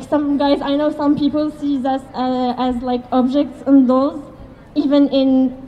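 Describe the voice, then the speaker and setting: Young woman speaking out, high slow voice, hesitant, dull recording with noise. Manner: hesitant, earnest. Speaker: speaker giving personal statement. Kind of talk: spontaneous personal speech. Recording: muffled, noisy recording.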